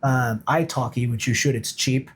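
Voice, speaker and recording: A man's voice: medium pitch, normal speed, breathy edge. A man talking casually as an interview guest, explaining his points informally and emphatically like a coach. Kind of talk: casual conversational interview talk.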